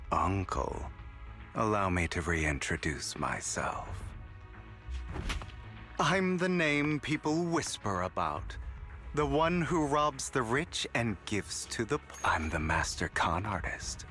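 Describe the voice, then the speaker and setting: An actor playing a suave, theatrical male con artist in a game cutscene, smooth and dramatic, dropping to a whisper at times. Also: lowish voice, lively intonation, takes it slowly, pushed and bright, soft background music, full character voice.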